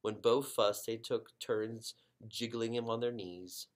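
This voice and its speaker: A man narrating a children's book expressively as a story reader, with dramatic pauses and drawn-out emphasis. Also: medium pitch, steady tone.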